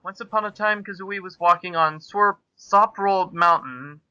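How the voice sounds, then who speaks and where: A man's voice: sits high, measured pace, pushed and bright, smooth, no echo, muffled recording. A man hosting a podcast, reading comic fan fiction aloud in a mock-grandiose, theatrical way.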